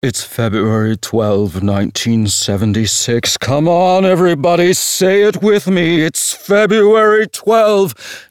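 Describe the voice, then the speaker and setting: A man's voice: sits high, smooth, dry recording. An actor plays a male walking tour guide in an audio drama, a flippant showman with a taste for the macabre. He takes his time with each phrase in a wry, theatrical way. The sound is dry, with no room around it. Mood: rousing, incantatory. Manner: theatrical, wry, drawn-out storytelling.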